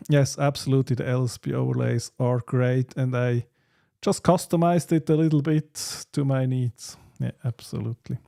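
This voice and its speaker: A man hosting a casual livestream chat, speaking in halting, hesitant phrases. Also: medium pitch, steady tone, vocal fry, dark tone. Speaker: livestream host.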